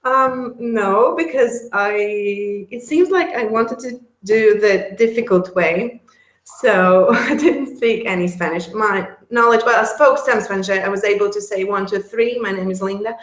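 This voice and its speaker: A woman's voice: medium pitch, unhurried. Chatty Latvian woman, echoey room. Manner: chatty, laughs often, many restarts. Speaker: guest, relocation service founder. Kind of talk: casual interview chat.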